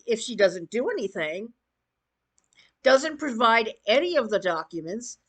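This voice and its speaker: Woman ranting for her channel, kinda slow paced but super up and down, blunt and scornful. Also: fairly high pitch, a bit bright. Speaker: YouTube commentator. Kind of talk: opinionated rant commentary on online drama.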